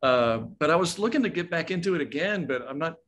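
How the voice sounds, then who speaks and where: A man's voice: a little high, lively intonation, fairly quick, a bit bright. A man from Texas chatting casually as a workshop attendee. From Texas, United States. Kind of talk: casual chat.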